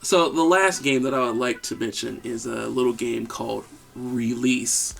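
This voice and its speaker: A man's voice: mid-range voice, steady tone, moderate pace, vocal fry, a bit bright. A man co-hosting a casual video game podcast, talking with enthusiasm and frequent laughs and jokey asides. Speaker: podcast co-host. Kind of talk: casual chat about video games.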